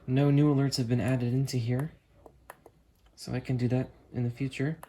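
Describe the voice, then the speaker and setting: A man's voice: mid-range voice, normal speed, slight background noise. Man, host, casual demo narration, thinking aloud, room at home. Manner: relaxed, thinking aloud while working the controls.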